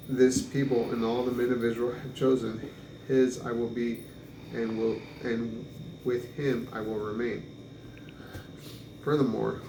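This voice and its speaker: Man reading scripture aloud, flat and echoey. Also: mid-range voice. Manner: halting, stumbles over names and restarts. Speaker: Bible reader. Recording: echoey room with clear background noise.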